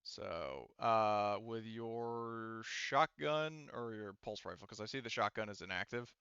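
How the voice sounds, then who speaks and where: A man with a bright, smooth voice works as game master for a tabletop roleplaying session. He talks informally, swears casually and explains as he goes. The recording is dry and slightly muffled. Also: on the low side, lively intonation, unhurried, no room sound.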